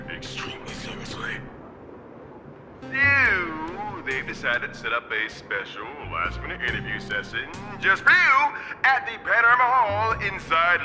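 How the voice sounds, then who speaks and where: Fawning reporter type: bouncy, high, theatrical, game score under the voice. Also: male voice. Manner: showy, theatrical, ingratiating. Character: cheerful fawning male media reporter. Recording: game voice-over with music.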